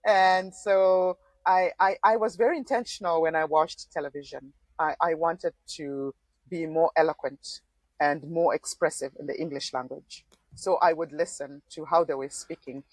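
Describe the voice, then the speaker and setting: A woman's voice: sits low, steady tone, moderate pace, no room sound, poor audio. Zimbabwean-born woman in her forties. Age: 40s. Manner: thoughtful, laughs often, frequent restarts. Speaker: podcast guest, former political candidate. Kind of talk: conversational interview.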